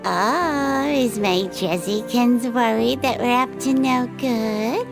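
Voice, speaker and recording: A woman's voice: a little high, slow pace, gravelly, music in the background. A woman playing a bloodthirsty fighter who taunts with playful menace, and narrates. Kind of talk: audio drama acting and narration.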